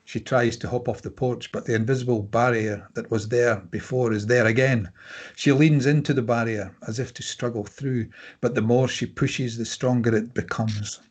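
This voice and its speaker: A man's voice: medium pitch, fairly even intonation, normal speed, breathy edge. A man reading stage directions as scripted narration at a table read.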